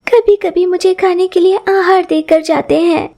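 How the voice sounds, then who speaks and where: A woman's voice: extremely high pitch, steady tone, unhurried, dark tone, pitched above the performer's own voice. A woman voicing a poor, desperate little female bird with a plaintive, pleading manner in a cartoon moral story. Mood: self-pitying.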